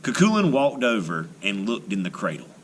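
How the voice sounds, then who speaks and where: A man reading a folktale aloud to children as an expressive storyteller. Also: fairly low pitch, moderate pace, slight background noise.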